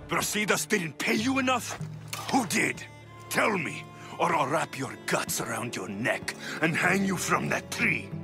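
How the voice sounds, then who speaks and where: An actor playing a tough warrior mercenary in a video game, blunt and demanding, with a hot temper. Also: male voice, a little high, sing-song, a little slow, gravelly, heavily acted. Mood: furious, threatening. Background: music.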